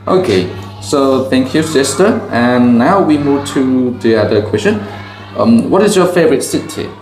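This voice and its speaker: A man's voice: medium pitch, lively intonation, measured pace, a little mellow. A Cambodian man, a teacher asking a student simple questions in plain, broken English and answering each reply with "okay". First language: Khmer. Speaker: teacher and channel host. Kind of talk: classroom question-and-answer video. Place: school campus. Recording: echoey, with faint background music.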